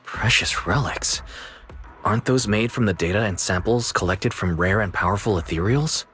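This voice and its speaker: A man's voice: lowish voice, moderate pace, fairly bright tone, faint music behind, natural voice. A man voicing a young investigation team captain in a video game, earnest and questioning, skeptical yet dependable. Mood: surprised, questioning. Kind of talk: scripted video game dialogue.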